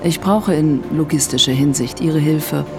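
An actress playing a powerful, calculating woman who speaks formally and smoothly in a radio drama. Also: deep voice, moderate pace. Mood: coolly menacing. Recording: music behind the voice. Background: music.

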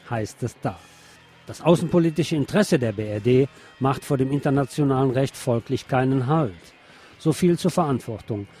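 A male radio presenter reading out press reports and commenting on them in a deliberate, emphatic way. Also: a little high, dark tone, breathy edge. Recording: music behind the voice. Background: background music.

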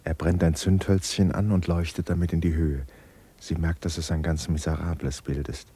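A man narrating a literary love story in a radio play, in a measured, subdued, melancholy way. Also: sits low, level tone, warm tone, slightly rough. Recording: radio production, faint music.

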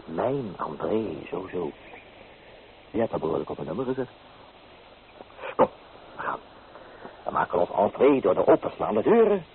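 A man's voice: deep voice, sing-song, moderate pace, long pauses. A man playing a teasing, flamboyant young bohemian painter in a radio play, theatrical and playfully mocking. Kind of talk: Dutch radio play acting. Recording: tinny old radio recording with hiss.

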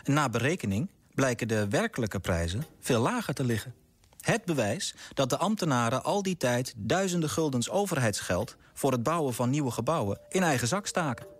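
Radio play role: earnest, politely persistent male clerk, formal wording, highly varied melody, rough-edged tone. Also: expressive, moderate pace. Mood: grave, narrating. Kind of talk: radio drama acting.

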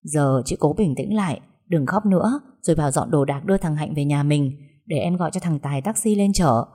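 A woman voicing a gentle younger sister who soothes and pleads with her family. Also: low voice, a little fast. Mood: pleading.

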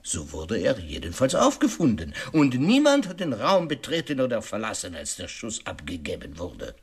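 An actor playing a shrewd, self-assured detective in a radio drama, laying out a case with rhetorical questions and emphatic pauses. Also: male voice, on the low side, wildly swooping pitch, husky, exaggerated voice. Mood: pointed, insistent.

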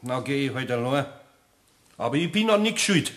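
A man's voice: mid-range voice, expressive, normal speed, a bit bright, close to an everyday voice. A male actor with a Bavarian accent in a radio play, as an earnest, awkward, folksy older craftsman. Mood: earnest, stubborn. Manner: hesitant, folksy, plain-spoken. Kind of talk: German radio play acting.

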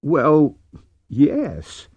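A woman voicing an embarrassed young military man with a halting, sheepish manner. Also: fairly low pitch, lively intonation, no echo, phone-quality sound, pitched above the performer's own voice. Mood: sheepish, stung.

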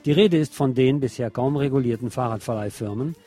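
A man reads local news from a script in a soft, dark-toned, relaxed voice. He has a sardonic, editorializing manner that colours the reading. Faint music with guitar plays behind him. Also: mid-range voice, fairly quick. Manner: sardonic, opinionated asides. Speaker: radio news presenter.

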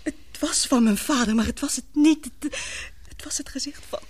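An actress playing an anxious but brave, tender young woman in a radio drama, with breathy, emotional, hesitant delivery. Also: high voice, moderate pace, whispered, lightly acted. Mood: frightened.

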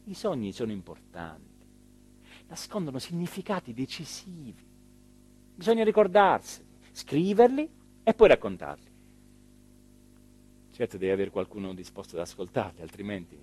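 An actor playing a rueful, self-important small-town mayor in a rambling, hesitant theatrical monologue. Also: male voice, mid-range voice, wildly swooping pitch, darkish tone, husky, faint music behind. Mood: self-important, eager. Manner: rambling, hesitant, emphatic, with repeated phrases and pauses. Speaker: stage actor performing a radio theatre monologue.

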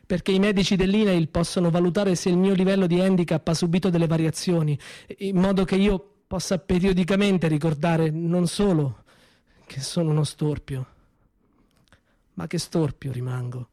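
Actor as a sardonic, high-voiced injured workman. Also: dark tone. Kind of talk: theatrical monologue in a radio play.

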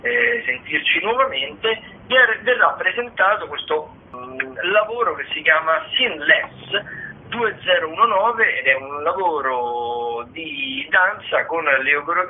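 A man hosting a radio show, talking through arts listings in a conversational, halting, digressive way, with frequent hesitations. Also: fairly high pitch, a little hiss or hum. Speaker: radio theatre show host. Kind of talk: conversational radio listings of theatre and dance shows. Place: radio broadcast. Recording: slightly band-limited, phone-like radio sound.

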